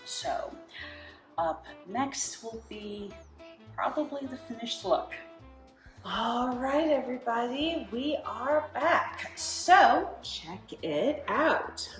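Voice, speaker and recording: A woman hosting a home cooking show, chatty and relaxed as she explains each step in her kitchen. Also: fairly high pitch. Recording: echoey kitchen with background music.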